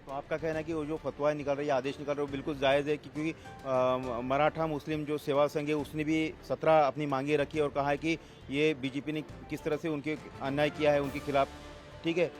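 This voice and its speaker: Male TV reporter, pitch a touch high, wordy and insistent political questioning, light music underneath. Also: level tone, fairly quick. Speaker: TV news reporter, interviewer. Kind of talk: political news interviewing, putting claims as questions.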